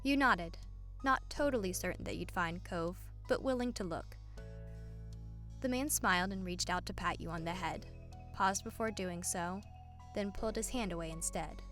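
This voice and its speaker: A woman's voice: on the low side, moderate pace, fairly bright tone. A woman reading scripted second-person story narration as the narrator of a visual novel. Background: music.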